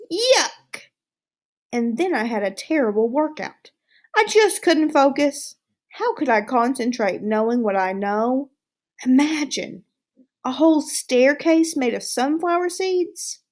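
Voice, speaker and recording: Storybook hamster, played by a woman: cocky, easily disgusted, exclamatory, with swooping intonation and slow delivery. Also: fairly high pitch. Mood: agitated, indignant. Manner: boastful, self-satisfied, exclamatory. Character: smug, excitable, easily disgusted male pet hamster. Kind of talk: storybook read-aloud, diary narration.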